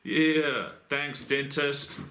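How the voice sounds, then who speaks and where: A man's voice: on the high side, fairly even intonation, drawn-out words, fairly bright tone, roomy sound, slight background noise. A young Australian man with a lisp from new braces, hosting a casual how-to vlog about crane rigging, joking and swearing in a blokey, rambling way. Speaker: crane rigger and channel host.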